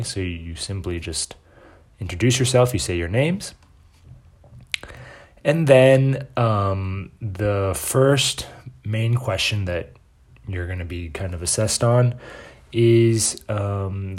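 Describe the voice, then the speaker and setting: A man's voice: lowish voice, slow pace. A young man, an English language assistant, talking casually through exam tips with frequent ums and fillers. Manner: relaxed, many ums and filler words. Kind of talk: casual exam-prep lesson to camera.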